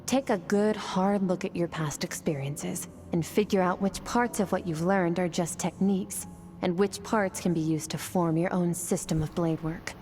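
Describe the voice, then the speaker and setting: Game casting: woman as cool, measured swordmaster mentor, lower register. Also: faint music behind, close to an everyday voice. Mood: stern. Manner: calm, measured, instructive. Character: stern, composed woman sword master teaching. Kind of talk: game voice acting.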